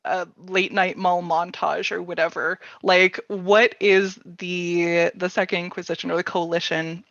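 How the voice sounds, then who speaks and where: Woman, casual panel chat, gravelly fry, hesitant phrasing, roomy slightly muffled recording. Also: fairly low pitch, flat delivery, fairly bright tone. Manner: halting, casual phrasing heavy with "like". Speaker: workshop panelist. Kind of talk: casual panel chat about tabletop game storytelling. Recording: roomy echo, slightly dull sound.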